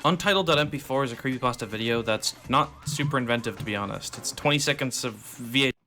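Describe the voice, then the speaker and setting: A man narrates a video essay with a dry, offhand delivery. His voice is bright and forceful, and faint music runs underneath as it plays back from a video. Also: mid-range voice, fast. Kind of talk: casual scripted narration.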